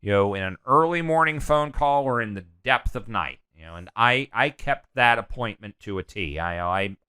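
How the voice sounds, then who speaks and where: A middle-aged man being interviewed, talking candidly and loudly, full of "you know" and restarts. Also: medium pitch, normal speed, clean tone. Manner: loud, animated, hesitant with many fillers. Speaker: guest.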